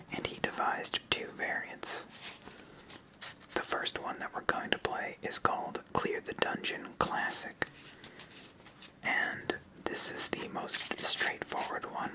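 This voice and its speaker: A person of unclear sex giving a whispered ASMR tutorial as host, explaining step by step in a soft, unhurried manner. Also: poor audio. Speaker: host of a card game channel.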